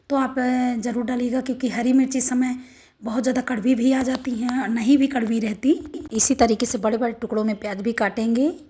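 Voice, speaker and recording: Hoarse woman demonstrating home cooking. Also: fairly high pitch, mellow. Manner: homely, step-by-step instructions. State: hoarse, sore throat. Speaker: cooking channel host.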